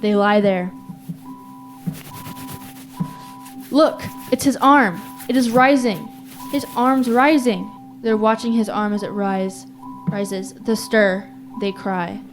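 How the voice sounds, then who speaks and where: A woman's voice: mid-range voice, slow, close to an everyday voice. A teenage girl plays an urgent radio announcer in a verse drama. She declaims in short, grave phrases as an eyewitness to catastrophe, with music under her voice. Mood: bitter dismay. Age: teens. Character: urgent female radio announcer witnessing a city's fall. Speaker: student actor. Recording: music behind the voice.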